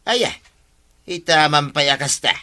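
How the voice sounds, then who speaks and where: An actor playing a brash, animated village man in an Ilocano comedy radio drama, exclaiming in an Ilocano accent. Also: fairly high pitch, slow, heavily acted. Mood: incredulous.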